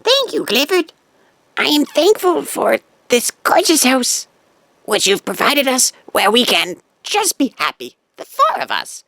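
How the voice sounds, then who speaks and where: A woman's voice: sits high, huge pitch swings, unhurried, vocal fry, a bit bright, dry recording, full character voice. A woman playing a fawning, anxious, overwrought wealthy wife and mother in an audio drama, gushing and faltering. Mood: fawning, strained.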